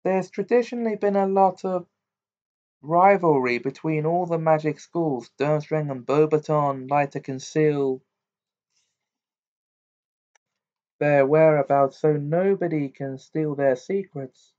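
A bookish teenage girl from a storybook, performed by a man. The voice is pitched well up, precise and bossy, with a sniffy know-it-all manner. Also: normal speed, darkish tone, lo-fi sound, lightly acted. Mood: sniffy, matter-of-fact. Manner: matter-of-fact, know-it-all.

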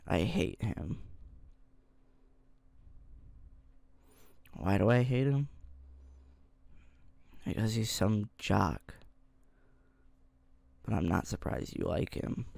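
A man playing an irritable, secretly smitten high school boy in an ASMR roleplay, grumbling and halting as he talks to the listener. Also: lively intonation, a little mellow, dry recording, heavily acted. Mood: annoyed, grumbling. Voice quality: low, gravelly. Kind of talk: ASMR roleplay, one-sided dialogue to listener. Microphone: close mic, dry.

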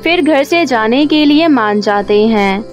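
High-pitched woman storyteller. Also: animated, unhurried. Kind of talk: scripted story narration. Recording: music under the voice.

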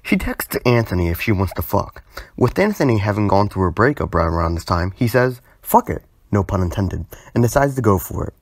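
A man's voice: fairly low pitch, big pitch swings, fairly quick, breathy edge, dry recording. A young man narrating a storytime video informally, with a sardonic manner and bursts of exaggerated emphasis.

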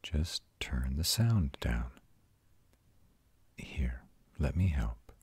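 A man voicing a tender, protective male vampire in an intimate, hushed audio roleplay, soothing and husky. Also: big pitch swings, drawn-out words, no room sound, full character voice. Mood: whispered comfort. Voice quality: very deep, husky, often breathy whispering. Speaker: voice actor.

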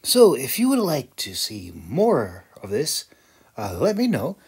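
A man's voice: medium pitch, wildly swooping pitch, measured pace, darkish tone, breathy edge. A man giving a casual, rambling vlog voice-over in a hesitant, self-deprecating, chuckling way. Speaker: video creator and hobby audiobook narrator.

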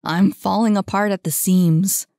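A woman's voice: medium pitch, steady tone, unhurried, vocal fry, mellow, no echo, studio quality. A woman reading a storybook and voicing a nervous, self-doubting young man who speaks hesitantly. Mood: despairing.

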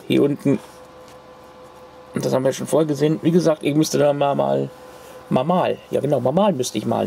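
A man's voice: mid-range voice, gravelly, warm tone, slight background noise. A man casually showing a corroded circuit card to the camera at his home workbench, rambling with restarts and fillers. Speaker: retro computer hobbyist. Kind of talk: casual show-and-tell vlog.

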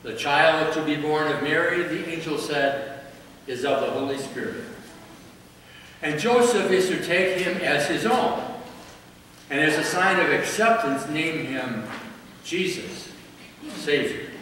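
An older priest preaches in a high, light voice, lingering over each phrase. He is heard from a distance through the long, echoing reverb of a large church, with faint room ambience underneath. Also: a bit bright, slight background noise. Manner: slow, measured preaching with dramatic pauses. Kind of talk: sermon. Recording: echoey church from far off.

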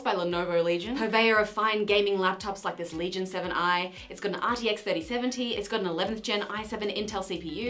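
A woman's voice: a little high, fairly bright tone. A young woman who grew up in New Zealand, hosting a casual let's-play and chatting, self-mocking and exclaiming at the game, in a small room with game music behind. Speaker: gaming video host. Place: small decorated room indoors.

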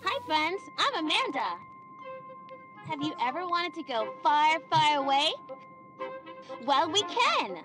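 A woman's voice: sits high, very animated, takes it slowly, pauses often, full character voice. A woman voicing a cheerful little girl who hosts a children's cartoon, asking the viewer questions in a sing-song way. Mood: bright, inviting. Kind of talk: children's educational cartoon acting. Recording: music behind the voice.

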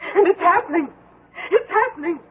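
An actress playing a fearful, fierce, superstitious daughter-in-law in a 1970s radio drama, intense and ominous. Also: female voice, very high-pitched, animated, normal speed, narrow, tinny audio. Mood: dread. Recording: band-limited old radio broadcast.

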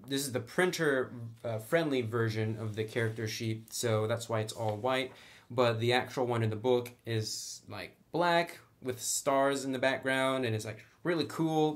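A man with a mid-pitched voice hosts a tutorial. He explains things casually and conversationally, with informal, slangy wording, as if guiding viewers step by step at a table. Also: fairly bright tone. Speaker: host of a tabletop game tutorial channel. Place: indoors at a tabletop.

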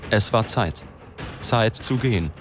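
An actor playing a wry, self-mocking reporter who narrates his own story in an ironic, deliberate way in a radio drama. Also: on the low side, lively intonation, over music. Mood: sardonic.

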